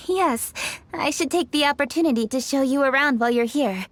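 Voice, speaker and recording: A woman's voice: high voice, normal speed, close to an everyday voice. An actress voicing a courteous, dutiful young noblewoman official in a video game, formal and composed. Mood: composed, decisive.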